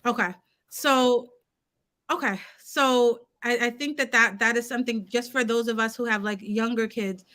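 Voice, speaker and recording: A woman co-hosting a casual livestream chat, talkative and quick to laugh, correcting herself as she goes. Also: fairly high pitch, steady tone, fairly bright tone. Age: adult parent of a seven-year-old. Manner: chatty, laughs easily, self-correcting. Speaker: co-host.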